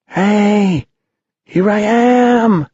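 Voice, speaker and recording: Guy doing a frail sickly little boy in a storybook, way high voice, strained and pleading. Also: drawn-out words, darkish tone, slightly rough, muffled recording, heavily acted. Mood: calling out. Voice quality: frail, thin. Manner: plaintive, strained calling. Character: small, frail, ailing young boy, earnest and pleading.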